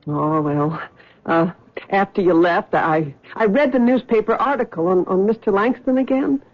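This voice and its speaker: An actress playing a relieved, caring wife in a 1970s radio drama, talking in a halting, hesitant way. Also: female voice, medium pitch, lightly acted. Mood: tender. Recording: dull old radio recording.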